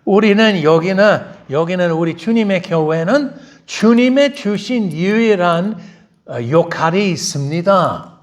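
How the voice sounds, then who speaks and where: A middle-aged male pastor preaching a bilingual sermon in a church, loud and emphatic, firing off rhetorical questions and shifting between Korean and English. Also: sits high, animated, rapid-fire. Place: church sanctuary.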